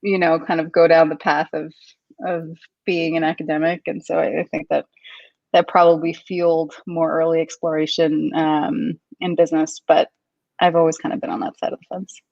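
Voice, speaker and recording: A woman's voice: deep voice, little pitch movement, moderate pace, gravelly, a little mellow, dry recording. A woman from Kuwait, a company founder, chatting in an interview in a thoughtful, upbeat way with many ums and you-knows. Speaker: company founder and CEO, guest. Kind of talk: casual interview conversation. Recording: dull, dry lo-fi sound.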